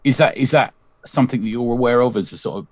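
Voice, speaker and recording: A man's voice: medium pitch, fast, no echo. A man co-hosting a podcast interview, chatty and often ending his points with tag questions. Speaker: podcast co-host.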